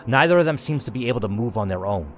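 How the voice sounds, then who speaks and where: A man's voice: medium pitch, expressive, fast, faint music behind. A man narrating a story game dramatically and reacting to its choices with emphatic commentary, as a gaming host.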